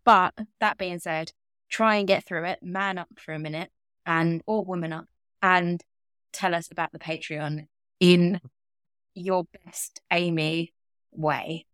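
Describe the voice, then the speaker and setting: A woman's voice: lowish voice, steady tone, unhurried, fairly bright tone, clean tone, dry recording. A British woman in her thirties co-hosting a podcast in casual, teasing, chatty banter. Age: 30s. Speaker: podcast co-host. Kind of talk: casual banter and true crime discussion.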